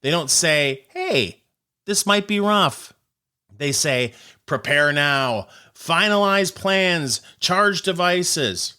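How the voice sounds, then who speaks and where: A man's voice: fairly high pitch, expressive, slow pace, pushed and bright, clear, resonant tone. A man hosting a scripted comedic news monologue, with a wry, sarcastic manner and punchy, emphatic phrasing. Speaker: host of a short comedic news commentary show.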